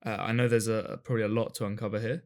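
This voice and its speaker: Fast-talking male podcast host. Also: on the low side, flat delivery, darkish tone. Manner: quick, loose questions with fillers. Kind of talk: casual interview chat.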